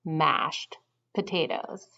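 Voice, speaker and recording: A woman's voice: sits low, extremely slow, vocal fry, pushed and bright. A woman author reading out a trivia question about her book. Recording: slightly dull, lo-fi sound.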